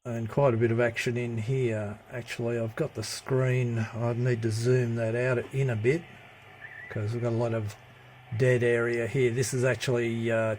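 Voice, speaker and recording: A man giving a casual, hands-on CB radio hobby demonstration in a halting, matter-of-fact way, recorded on a handheld phone. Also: medium pitch, darkish tone. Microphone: handheld phone camera. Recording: noticeable background noise, handheld phone recording.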